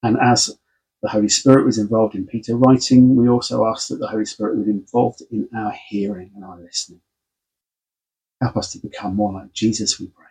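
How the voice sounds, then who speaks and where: A man's voice: on the low side, fairly even intonation, brisk, darkish tone, slightly rough, rough recording. A man, a pastor giving a casual, conversational sermon from his home study. He is under the weather and sounds snuffly and congested. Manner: friendly, conversational, informal. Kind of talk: casual sermon and Bible reading.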